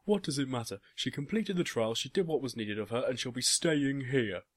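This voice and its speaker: A man's voice: mid-range voice, a little fast, voice pushed up, close to an everyday voice. A man voicing a devoted, proud father who speaks passionately in a story. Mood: defiant. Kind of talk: audiobook character dialogue.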